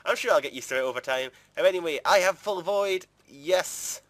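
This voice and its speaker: A man's voice: a little high, a little fast, a bit bright, no echo. A man giving casual, chatty gameplay commentary in an informal, rambling way, as a video creator would.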